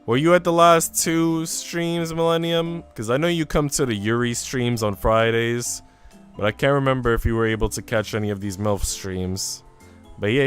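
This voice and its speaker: A man's voice: medium pitch, clean tone. A man streaming a visual novel, moving between story narration and casual chat with viewers. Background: soft background music.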